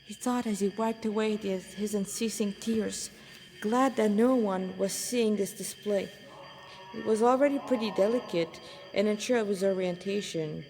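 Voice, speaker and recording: A woman's voice: mid-range voice, moderate pace, a little mellow, long reverb tail, soft background music, rough recording. A woman reading a story aloud as narrator, an amateur who stumbles over phrases and repeats them. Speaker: amateur fan fiction author reading aloud.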